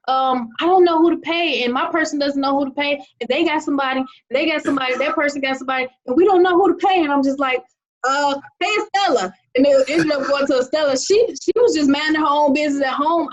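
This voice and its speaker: A woman's voice: high-pitched, highs cut off. A woman hosting a video call, presenting with upbeat energy and checking in with "okay?" again and again. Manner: upbeat, repeats "okay?" often. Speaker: host of an online money-making call.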